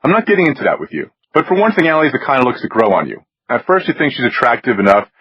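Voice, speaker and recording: A plain-spoken guy, acted by a male narrator with a raspy edge and lively pitch. He is a defensive, sarcastic wisecracker, heard in a close, dry audiobook recording that is a touch dull. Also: fast, no room sound. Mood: exasperated. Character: wisecracking, defensive everyman, wry and exasperated. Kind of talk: audiobook character voice.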